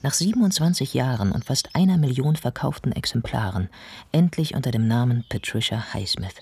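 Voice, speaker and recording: An actor narrating a radio drama about a writer's life, in a measured, precise and observant way. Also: male voice, medium pitch, expressive, darkish tone, slightly rough. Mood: composed, factual.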